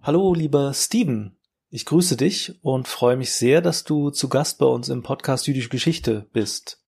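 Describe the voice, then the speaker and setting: A man's voice: mid-range voice, measured pace, gravelly, darkish tone. A male podcast host reading a formal introduction and asking polite interview questions.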